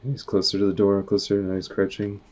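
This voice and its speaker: A man with a low voice reads a storybook aloud. He is casual and acts out the lines and noises as he goes. The recording is dull and slightly muffled. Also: completely flat delivery, brisk, a little mellow. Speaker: author reading their own comic aloud. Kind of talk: animated storybook read-aloud with sound effects.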